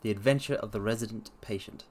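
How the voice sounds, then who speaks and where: A man's voice: fairly low pitch, fast talker, a little mellow. A man hosting a livestream and reading aloud in a theatrical manner, with formal, old-fashioned phrasing. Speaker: livestream host and story reader. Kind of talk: livestream chat and dramatic reading.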